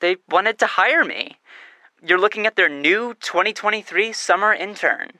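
A woman's voice: mid-range voice, normal speed, pushed and bright, no room sound. A woman playing herself in a scripted comic radio skit, breezy and matter-of-fact.

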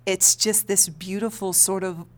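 A woman's voice: mid-range voice, fairly even intonation, measured pace, noisy background. A woman theater director explaining her work in an earnest, reflective interview. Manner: earnest, explanatory, checks with "right?".

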